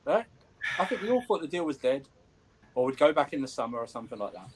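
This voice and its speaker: A man's voice: mid-range voice, sing-song, quick pace, husky, low-quality recording. A man in a casual group chat who jokes and laughs about the news. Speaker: panelist.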